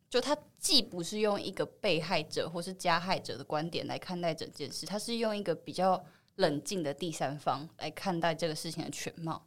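Low-pitched Taiwanese woman, thoughtful. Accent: Taiwanese Mandarin. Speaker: film actress, interview guest. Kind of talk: reflective interview chat.

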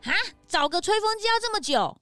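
A woman playing a sharp, probing sidekick. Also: extremely high pitch, sing-song, no room sound, full character voice. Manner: quick, probing, skeptical. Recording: soft music underneath.

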